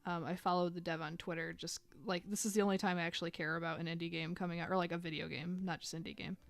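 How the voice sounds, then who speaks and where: A woman's voice: fairly low pitch, level tone, fast, vocal fry, dry recording, faint music behind. A woman in her thirties chatting casually while drawing on a live stream, rambling and filling gaps with "like". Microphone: desk microphone.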